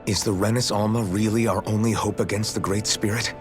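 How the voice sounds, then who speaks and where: Low-voiced, determined young hero played by a man. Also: fairly even intonation, fairly quick, over music, close to an everyday voice. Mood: earnest, resolved. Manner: firm, plainspoken, earnest. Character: determined, blunt young male hero and leader.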